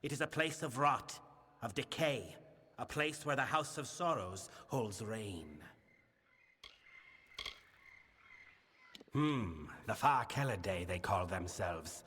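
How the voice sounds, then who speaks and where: A male actor voicing a grave, burdened fae king in a fantasy game, speaking formally and in a measured, solemn manner. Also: medium pitch, pushed and bright, a little hiss or hum. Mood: grave, wary. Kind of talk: scripted fantasy game dialogue. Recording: long reverberant hall, music behind. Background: music.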